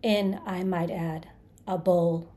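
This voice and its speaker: A woman's voice: lowish voice, steady tone, slow, warm tone. A woman voicing a vengeful, bitter male creature in an audiobook, snarling and hissing with sarcastic menace. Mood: bitter sarcasm. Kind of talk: dramatized audiobook character.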